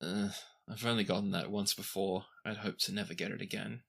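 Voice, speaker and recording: A man's voice: fairly low pitch, fairly even intonation, a little fast, gravelly. A man playing a weary, hesitant orc spellcaster recovering from sickness in a tabletop game. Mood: wry.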